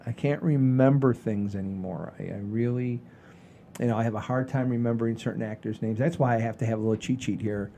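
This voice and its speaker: An older man hosting a casual podcast, rambling in a folksy, halting way with repeats and the odd mild swear. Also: medium pitch, vocal fry, warm tone, a little hiss or hum. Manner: folksy, mildly profane, halting and repetitive. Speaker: podcast host.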